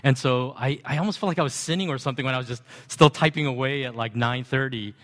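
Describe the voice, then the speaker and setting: A man's voice: fast talker, fairly bright tone. A man speaking as a pastor, addressing his congregation. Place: large church sanctuary. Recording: long hall reverb, booming sound system.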